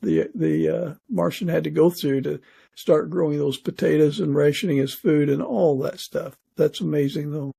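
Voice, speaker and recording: A man hosts a casual interview podcast. His voice is fairly high for a man, with a mellow, husky tone. He puts his questions in a conversational way. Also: fairly even intonation, normal speed. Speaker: podcast host.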